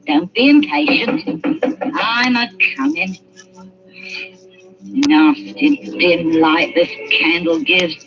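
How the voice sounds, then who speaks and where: A woman's voice: fairly low pitch, expressive, takes it slowly, long pauses, over loud music. An actress playing a scheming old woman who deals in stolen corpses, scolding and wheedling in turn. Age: older. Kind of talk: 1940s radio acting. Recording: muffled old radio recording.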